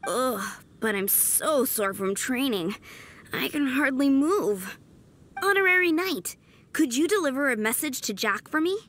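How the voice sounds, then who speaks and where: A woman's voice: high-pitched, lively intonation, unhurried, a bit bright, a little hiss or hum. A woman playing an earnest, eager young aspiring knight in a video game, enthusiastic and modest. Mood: weary but friendly. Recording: faint background music.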